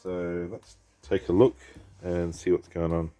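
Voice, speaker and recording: A man's voice: sits low, little pitch movement, a little slow, over loud music. A man with a head cold, rambling casually as he repairs a computer and thinks aloud. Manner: rambling, thinks aloud, reads on-screen text. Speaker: PC repair vlogger. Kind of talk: casual rambling commentary while working.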